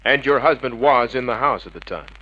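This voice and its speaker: An actor playing a wisecracking, cynical private detective with an American accent, dry and sardonic in a 1950s radio drama manner. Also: male voice, mid-range voice, brisk, a little hiss or hum, close to an everyday voice. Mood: shrewd. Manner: dry, sardonic, hard-boiled. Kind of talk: 1950s hard-boiled radio drama acting and narration. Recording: muffled vintage radio recording.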